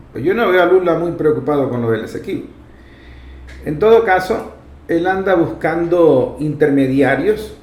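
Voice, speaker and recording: A man's voice: a little high, a little mellow. A man with a Venezuelan accent hosting a public affairs talk, addressing viewers in an emphatic, explanatory, oratorical way. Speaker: programme host and foundation vice president. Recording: roomy, echoing sound.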